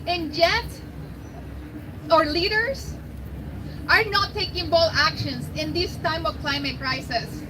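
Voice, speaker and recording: A woman from Mexico with a Mexican Spanish accent, giving an earnest, deliberate speech at an outdoor climate rally. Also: extremely high pitch, background noise. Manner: earnest, slow and deliberate, grateful. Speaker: rally speaker.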